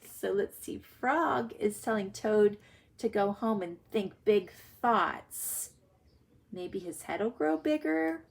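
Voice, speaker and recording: Female primary reading teacher, very unhurried, deliberate and explanatory, reading a story aloud and thinking out loud. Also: medium pitch, creaky, slight background noise. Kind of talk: read-aloud lesson for young children, thinking aloud.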